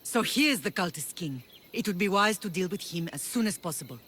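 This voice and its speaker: Low-voiced, solemn Spartan mother. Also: lively intonation, fairly quick, fairly bright tone, a little hiss or hum. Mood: composed, grave. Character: proud middle-aged Spartan mother, earnest, longing for family. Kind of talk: dramatic video game acting.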